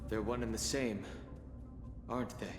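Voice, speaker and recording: A man voicing a quiet, serious young warrior in a video game, thoughtful and understated. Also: lowish voice, fairly even intonation, moderate pace, lightly acted. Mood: grave, pensive. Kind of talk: scripted video game voice acting. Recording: echoey reverb, music behind.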